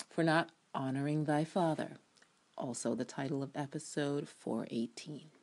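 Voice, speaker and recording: A woman with a deep voice performs her own written review as a dramatic reading. Her delivery is theatrical and emphatic, swinging widely in pitch. She sounds opinionated and adds asides as she goes. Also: a little mellow.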